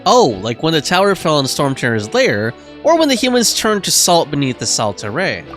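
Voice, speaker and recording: A man's voice: on the high side, huge pitch swings, moderate pace, a bit bright, faint music behind. A man reacting casually to a video game as he plays, giving off-the-cuff commentary. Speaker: gamer commentating on a playthrough.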